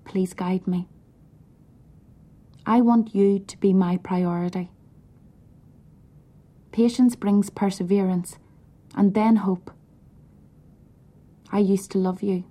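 A woman softly whispering a prayer, hushed and pleading. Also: mid-range voice. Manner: hushed, pleading, slow and even.

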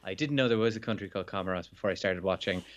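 Irish sports journalist guest, low croaky voice, dull line, chatty with restarts. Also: male voice, very fast, fairly bright tone. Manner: chatty, laughing, with self-interrupting restarts. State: slightly hungover and croaky. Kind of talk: radio phone-in chat.

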